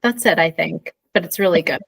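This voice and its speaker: A woman's voice: low voice, brisk, vocal fry, breathy edge, dry recording, clean studio sound. An American woman co-hosting an informal English lesson in a conversational teaching style. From Pennsylvania, USA.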